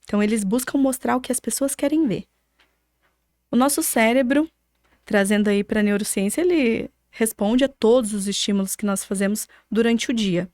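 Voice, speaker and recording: A woman's voice: a little high, normal speed, a little mellow, no room sound, clean studio sound. A woman with a Brazilian Portuguese accent explaining things didactically, with examples, as an interview guest. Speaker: podcast guest, architect.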